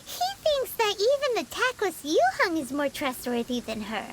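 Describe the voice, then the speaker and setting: A woman voicing a tiny floating fairy girl companion who is chatty and excitable, talks about herself in the third person and is blunt and nosy. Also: very high-pitched, normal speed, background noise, exaggerated voice. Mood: gossipy. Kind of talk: video game voice acting.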